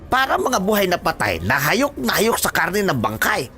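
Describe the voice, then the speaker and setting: A man's voice: sits high, wildly swooping pitch, moderate pace, bright, forceful tone, full character voice. A man with a Filipino accent playing a knowing village mentor giving grave warnings in a horror audio story. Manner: grave, cautioning. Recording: faint music behind the voice.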